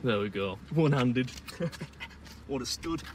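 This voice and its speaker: A man in his thirties with a Northern English accent talks through his vlog in a dry, self-mocking way. Music plays clearly under his voice. His pitch sits in an ordinary middle range. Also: expressive, measured pace. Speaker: food challenge vlogger. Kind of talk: casual vlog narration. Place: car and restaurant.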